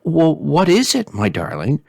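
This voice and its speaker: A man's voice: a little high, huge pitch swings, a little slow, gravelly, a little mellow, husky, dry recording, studio quality, voice pushed up, exaggerated voice. A man voicing a fond, worried father king in a storybook, earnest and coaxing.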